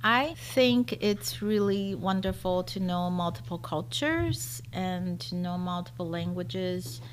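A middle-aged woman from Taiwan speaks with a Taiwanese accent. Her pitch sits a little low for a woman. She tells stories from her own life in plain, short, clearly phrased sentences. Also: animated, takes it slowly, slight background noise. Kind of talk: personal storytelling.

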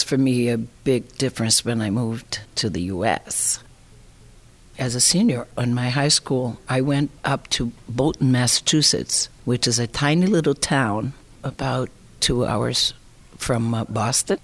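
A woman's voice: rumbling low, unhurried. Woman, sixties, Brazilian accent, native Portuguese speaker, reflective interview storytelling, conversational. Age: 60s. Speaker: interviewee, immigrant.

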